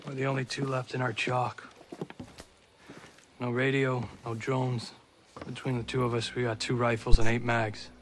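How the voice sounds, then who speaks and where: An actor playing a weary, stranded soldier in a video game cutscene. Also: male voice, mid-range voice, little pitch movement, moderate pace, pauses often, noisy background, lightly acted. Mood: weary, uneasy.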